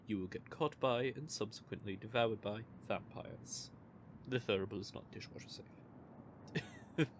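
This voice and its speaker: A man's voice: medium pitch, slight background noise. A man playing a smug, teasing male vampire who is playfully menacing, in an audio roleplay.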